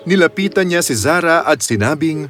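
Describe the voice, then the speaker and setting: Male storyteller, high pitch, lively swooping intonation, dramatic scripted children's narration, light music. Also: moderate pace.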